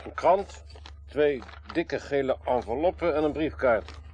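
An actor playing a grumbling, wry detective sidekick in a radio drama, talking in a dry colloquial way. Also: male voice, mid-range voice, a little slow, background noise, lightly acted.

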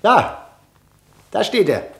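An actor playing a defiant, half-mad condemned prisoner in a German radio play, volatile between hushed words and outbursts. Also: male voice, high-pitched, very animated, slow, creaky, echoey room, full character voice. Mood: insistent.

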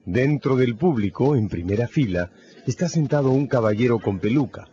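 A man narrating a radio novel in a scripted, dramatic storytelling style with measured phrasing. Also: mid-range voice, animated, dark tone, lo-fi sound, slight background noise.

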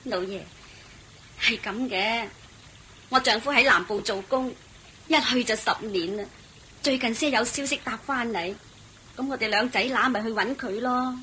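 A woman's voice: on the high side, normal speed, long pauses, sharp tone, a little hiss or hum, low-quality recording. An actress playing a humble, courteous travelling mother in a Cantonese radio drama, polite and plaintive with a Hong Kong accent.

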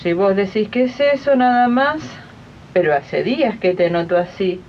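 A woman's voice: mid-range voice, animated, normal speed, a little hiss or hum, lightly acted. A woman playing a haughty, suspicious lady of the house in a 1980s community radio drama, condescending and nagging, with an Argentine accent. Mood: disapproving. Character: haughty, suspicious female employer of a maid. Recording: muffled 1980s archive radio recording.

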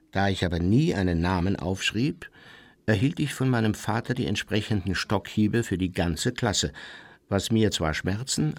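An actor playing a proud, self-pitying man who narrates his youth in formal, measured memoir style. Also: deep voice, slightly rough. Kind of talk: scripted first-person memoir narration in radio play.

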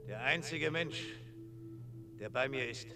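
A plaintive dragon, dying and lonely, in a radio drama. The halting voice is medium in pitch and slow, under a very loud ringing hum. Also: male voice. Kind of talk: German radio drama acting. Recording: loud humming, ringing noise.

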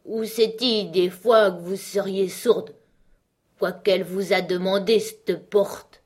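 A woman performs an ancient, grumbling storybook frog. The voice is husky and hoarse, dragging through rustic phrases in a slow drawl. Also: mid-range voice, steady tone, darkish tone. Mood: puzzled, grumbling.

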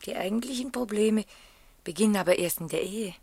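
An actress playing a reserved, thoughtful woman, measured and gently sceptical, in a German radio play. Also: mid-range voice, creaky, husky, no room sound. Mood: wry, composed. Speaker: radio drama actor.

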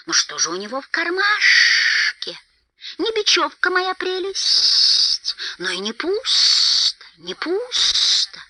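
A woman voicing a wretched, spiteful, greedy male cave creature, with drawn-out, repeated words and a hissing, whispery voice that can turn to shrieking. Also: extremely high pitch, huge pitch swings, pushed and bright, no room sound, pitched above the performer's own voice, full character voice. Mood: sly, greedy.

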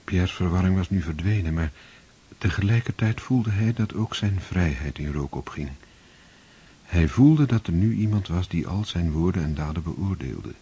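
A male narrator reading scripted narration for a radio play in a measured, solemn way. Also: low voice, lively intonation, dark tone, husky.

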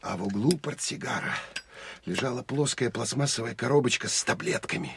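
An actor playing a terse, introspective Soviet military officer who narrates an audio drama in measured, clipped phrases. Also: male voice, medium pitch, creaky, fairly bright tone, a little hiss or hum. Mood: measured, tense. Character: male Soviet military counterintelligence officer, terse, weary, self-critical. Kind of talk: Russian audio drama first-person narration.

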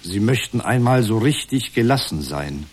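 An actor playing a calm therapist in a radio drama, speaking in measured, formulaic reflections. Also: male voice, on the low side, a little hiss or hum. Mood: measured, reassuring. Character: male talk therapist, calm, formulaic, parroting his client.

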